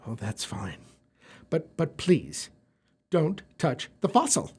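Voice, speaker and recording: A man's voice: a little high, sing-song, slow pace, darkish tone, husky, heavily acted. A man playing a worried, flustered professor in an audio drama, speaking hesitantly with stammers. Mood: anxious, hesitant.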